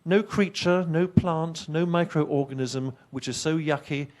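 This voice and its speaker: Man with an English accent, middle-aged, English not his first language, chatty conference talk, wry, fairly high voice. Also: a little slow. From England. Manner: lively, chatty, wry asides to the audience. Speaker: conference speaker. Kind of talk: science presentation to an audience.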